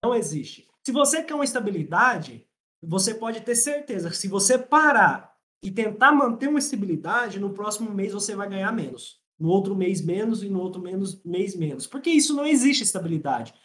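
A man's voice: high voice, lively intonation, brisk, a bit bright. A man from Minas Gerais with a mild Mineiro accent, a podcast guest telling stories and explaining salon finances, repeating his points for emphasis. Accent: mild Mineiro Brazilian Portuguese. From Minas Gerais, Brazil. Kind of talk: casual podcast conversation, explaining.

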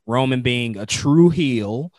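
A man co-hosting a casual wrestling chat, laid-back and thoughtful, often pausing on "um". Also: medium pitch, no echo, clean studio sound. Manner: relaxed, thoughtful, with fillers. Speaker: wrestling podcast co-host.

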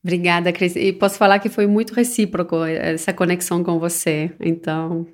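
A woman's voice: fairly low pitch, little pitch movement, brisk. French woman speaking reflectively. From southern France. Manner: reflective, thoughtful, with restarts and self-corrections. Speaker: podcast guest, personal development coach. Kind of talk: casual interview chat. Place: São Paulo.

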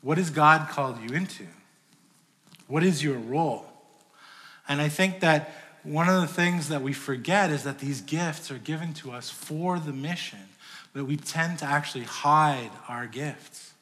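Male pastor, conversational sermon delivery, slightly high voice, reverberant church hall. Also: unhurried, bright, forceful tone. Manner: conversational preaching, dynamic swings in volume.

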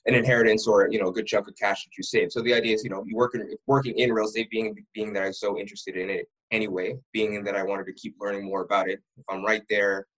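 A man's voice: fairly low pitch, fairly even intonation, fast talker, hardly any pauses, a bit bright, low-quality recording. A man from Ottawa, a real estate broker who is a podcast guest, telling his backstory in a rambling way with many restarts. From Ottawa, Canada. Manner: rambling storyteller who often restarts words. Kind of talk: casual podcast interview.

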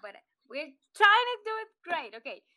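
A woman's voice: very high voice, big pitch swings, moderate pace, bright, forceful tone, no room sound, clean studio sound. A woman co-hosting a casual podcast in a playful manner, tossing in little vocal interjections. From US-Mexico border region. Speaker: podcast co-host.

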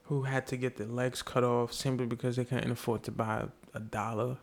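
A man's voice: medium pitch, level tone, moderate pace, gravelly. A young man from Haiti, a podcast guest, telling a story earnestly in casual conversation and swearing freely.

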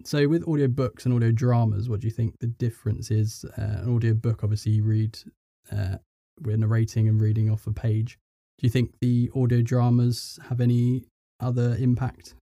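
A man's voice: medium pitch, dark tone, no room sound, studio quality. A man hosting a podcast, asking questions and chatting in a hesitant, conversational way. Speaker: podcast host, interviewer.

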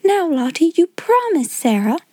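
Woman playing an earnest, high-voiced motherly schoolgirl. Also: normal speed, no room sound. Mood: soothing. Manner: steady, earnest, coaxing. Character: kind, self-controlled, motherly young schoolgirl. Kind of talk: storybook character voice in audiobook.

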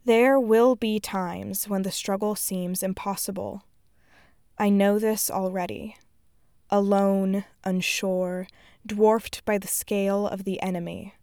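A woman in her twenties with a smooth, clear voice at an average pitch. She reads reflectively, earnest and measured, in a dry, close recording. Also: fairly even intonation, warm tone, dry recording. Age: 20s. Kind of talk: scripted personal reflection and reading.

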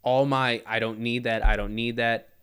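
A man in his twenties in casual podcast chat, animated and self-mocking, swearing and saying "dude" a lot. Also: medium pitch, normal speed, clear, resonant tone. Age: 20s.

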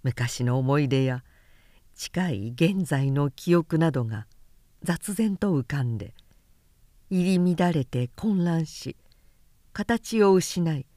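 A woman narrating a literary novel in audiobook style, with measured, deliberate phrasing and pauses between phrases. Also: low-pitched, lively intonation, warm tone, no room sound, clean studio sound. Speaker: narrator reading a novel aloud.

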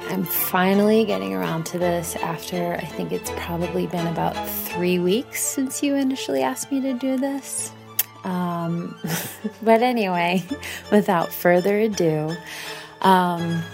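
A woman's voice: fairly low pitch, vocal fry. An American woman speaking in a casual, rambling, self-deprecating monologue recorded at home. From Bayonne, New Jersey, United States. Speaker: podcast guest contributor. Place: home kitchen.

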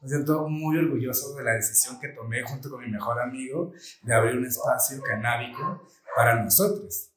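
Animated Venezuelan-accented man, echoey room. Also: medium pitch, brisk, background noise. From Colombia, raised in Venezuela. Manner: animated storyteller, acts out quoted dialogue. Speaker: smoke shop co-owner guest. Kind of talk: casual podcast chat.

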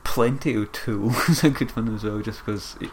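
A man's voice: lowish voice, moderate pace, a little hiss or hum. A man co-hosting a casual film review chat, making dry quips and laughing easily. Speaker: podcast co-host.

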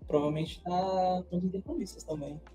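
A man's voice: high-pitched, fairly even intonation, a little fast, warm tone, slight background noise. A young man, co-hosting a casual podcast chat and explaining things in loose, meandering sentences with many restarts. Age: young adult. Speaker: co-host.

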